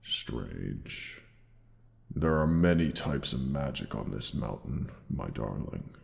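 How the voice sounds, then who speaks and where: A man playing a tender, protective male dragon in an intimate audio roleplay, speaking with old-fashioned endearments in a gravelly voice. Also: big pitch swings, heavily acted. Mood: gentle, reflective. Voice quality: very deep, gravelly. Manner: slow, archaic, tender endearments. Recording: long cavernous reverb.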